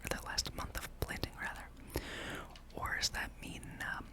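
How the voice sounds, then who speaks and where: A breathy whisper from a gaming ASMR host, with no voiced tone at all. The delivery is an unhurried ramble, musing aloud over gameplay.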